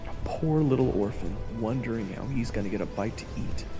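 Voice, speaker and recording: A man's voice: mid-range voice, animated, a little fast, long reverb tail, music in the background, natural voice. A man playing a cheeky, anxious young hero who narrates a fantasy audio drama with casual, wry asides. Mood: wistful, amused.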